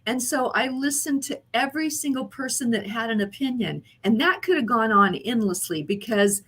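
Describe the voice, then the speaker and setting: A woman's voice: on the high side, lively intonation, normal speed, a bit bright, husky. A woman co-hosting a motivational podcast, speaking in a reflective, affirming way. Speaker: podcast co-host. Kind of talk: motivational chat.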